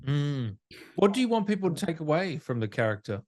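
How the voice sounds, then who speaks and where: A man interviews a guest casually over a video call as podcast host. His voice moves through a wide, animated range of pitch, and his manner is informal and agreeable. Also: brisk. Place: remote video call from a tidy room.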